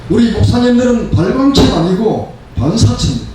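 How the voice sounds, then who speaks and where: Echoey, higher-voiced elderly male preacher. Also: big pitch swings, fairly quick. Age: 70s. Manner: emphatic, repeats phrases in parallel for stress. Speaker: pastor preaching. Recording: very echoey room, distant mic, faint noise.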